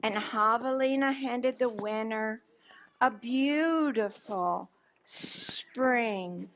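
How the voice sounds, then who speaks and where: A woman reading a picture book aloud to children as a story time host, with dramatic, drawn-out emphasis and questions to her listeners. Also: a little high, fairly bright tone, rough recording.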